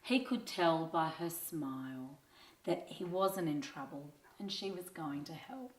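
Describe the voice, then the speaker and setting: A woman narrating a scripted children's story in an expressive, measured reading style. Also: low-pitched. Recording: roomy, echoing sound.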